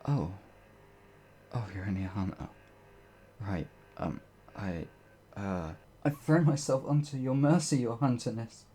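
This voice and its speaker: A man's voice: medium pitch, a little slow, warm tone, slight background noise, natural voice. A man playing a timid, apologetic werewolf farmhand in an audio roleplay, hesitant and rambling, stammering as he goes. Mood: nervous, bewildered. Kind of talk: ASMR audio roleplay monologue.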